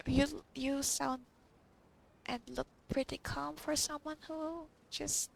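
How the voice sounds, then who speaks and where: A woman's voice: high voice, level tone, takes it slowly, gravelly, slight background noise. A woman giving casual, reactive game commentary, reading lines aloud and murmuring informal, half-whispered asides. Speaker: gamer recording a playthrough. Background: game music.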